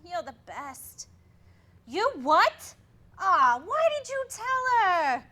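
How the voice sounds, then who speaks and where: An actress playing a wry, easily exasperated housewife in a staged comedy. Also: female voice, very high-pitched, sing-song, extremely slow, sharp tone, exaggerated voice. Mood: chirpy. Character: wry, deadpan-joking housewife, exasperated daughter. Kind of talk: staged reading of a comic play.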